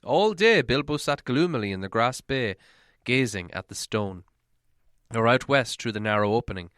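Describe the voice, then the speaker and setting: A man narrates an audiobook in a dramatic storybook style. His medium-pitched voice moves up and down a great deal, and the recording is close and dry. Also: animated, normal speed, a bit bright, clean tone, no room sound.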